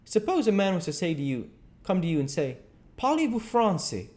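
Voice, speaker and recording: An earnest country boy from a storybook, played by a man, speaks with a Southern American accent in a raised, lilting pitch. He is a know-it-all, persuasive and full of questions. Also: big pitch swings, a little fast, a little mellow. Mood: explaining. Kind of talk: audiobook character dialogue.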